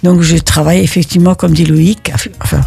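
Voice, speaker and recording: Older woman, from Italy, husky voice, gravelly edge, halting, restarts, interview. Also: on the low side, level tone, dark tone. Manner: halting, with many restarts and fillers. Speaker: interviewee, neighborhood volunteer. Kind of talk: interview answers.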